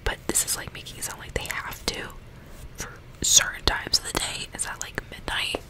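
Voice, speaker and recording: A woman whispering softly and breathily into a close microphone, ASMR style, reading a book and chatting intimately in asides. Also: normal speed, poor audio. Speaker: ASMR reader and commentator.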